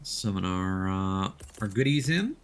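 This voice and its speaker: Male game streamer, lowish voice with lively intonation, casual and instructive live commentary, faint game ambience behind. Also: a little slow, slight background noise. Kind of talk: live let's-play commentary, explaining as they play. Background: video game ambience.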